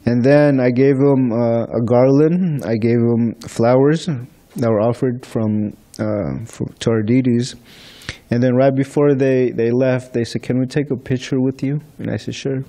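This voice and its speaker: A young man from the Philippines leads an informal class in a large temple hall, speaking into a stand microphone. He talks in a chatty, storytelling way with a mellow, relaxed tone. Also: medium pitch, unhurried. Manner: chatty, storytelling with light laughs. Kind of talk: informal scripture class talk. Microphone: stand mic in front of seated speaker.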